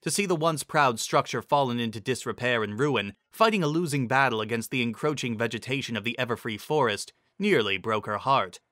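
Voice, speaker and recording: A man's voice: mid-range voice, a little fast, few pauses, fairly bright tone, dry recording, studio quality. A man giving a dramatic reading of a scripted fantasy story as its narrator.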